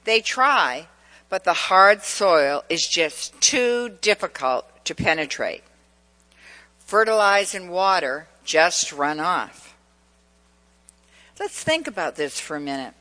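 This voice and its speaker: Woman preacher, Massachusetts accent, bright, long church reverb. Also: lowish voice, expressive, takes it slowly. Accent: central Massachusetts. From New England. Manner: asks the congregation questions, personal anecdotes. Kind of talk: sermon.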